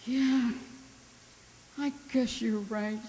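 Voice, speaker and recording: Lady doing nervous girlish housewife in a radio drama, drawn-out slow lines, echoey hall with some hiss. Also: fairly high pitch, fairly even intonation, dark tone. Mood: sheepish, chatty. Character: anxious, naive, girlish young American wife. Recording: echoey hall with background noise.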